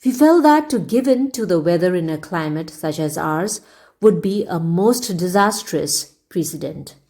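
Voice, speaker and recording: Woman reading aloud, mellow and lively. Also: on the low side, unhurried. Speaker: storyteller. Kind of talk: audiobook-style read-aloud narration.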